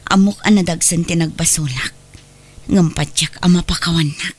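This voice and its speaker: A woman's voice: on the low side, steady tone, normal speed, natural voice. A woman playing an emotional, long-suffering mother full of hurt in an audio drama. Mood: remorseful, sorrowful. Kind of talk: Ilocano audio drama acting.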